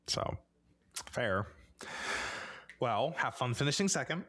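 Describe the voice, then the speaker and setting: A man's voice: mid-range voice, sing-song, slow, fairly bright tone. A man co-hosting a podcast in casual, playful chat, joking and laughing often. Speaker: podcast co-host.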